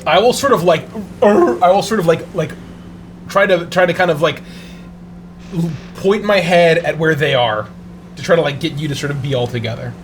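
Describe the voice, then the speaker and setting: A man's voice: on the high side, normal speed. Hesitant man who keeps saying like. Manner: hesitant, lots of "like". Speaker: player. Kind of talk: playing a tabletop game. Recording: background noise.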